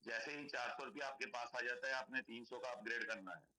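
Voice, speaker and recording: Hoarse man pitching a donation scheme. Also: a little fast, bright, forceful tone, rough recording. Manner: persuasive, repetitive explaining. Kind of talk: sales pitch on a call.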